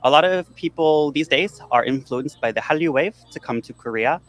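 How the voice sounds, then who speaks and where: A man being interviewed, speaking in a measured, assertive way. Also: a little high, a bit bright.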